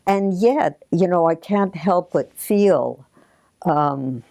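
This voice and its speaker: A woman artist reflecting in an interview, pensive and halting as she gathers her thoughts. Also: fairly low pitch, vocal fry, dark tone, dry recording, clean studio sound.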